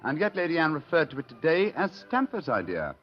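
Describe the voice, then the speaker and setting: A muffled vintage radio drama recording with music. a shrewd British gentleman sleuth, courteous and theatrical. His delivery swings widely in pitch and sounds grandly amused. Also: wildly swooping pitch, brisk. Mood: amused, triumphant. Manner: suave, amused, grandly formal. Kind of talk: old-time radio mystery acting.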